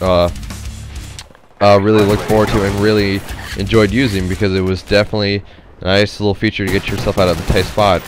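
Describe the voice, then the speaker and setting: A man giving casual, rambling, unscripted game commentary, hesitant and nasal from a stuffy head cold. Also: low-pitched. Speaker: gameplay commentator.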